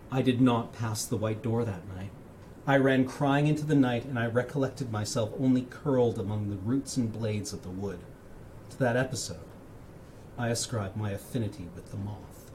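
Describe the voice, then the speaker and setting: A man reading in the voice of a solemn, fearful occult dreamer, in a measured, formal, literary manner with hushed phrase endings. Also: mid-range voice, a little hiss or hum, natural voice, low-quality recording.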